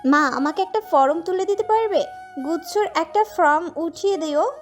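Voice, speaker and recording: Woman as eager young daughter in audio fiction, very high voice, music beneath. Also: expressive, moderate pace. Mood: pleading. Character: hopeful student daughter asking a favour.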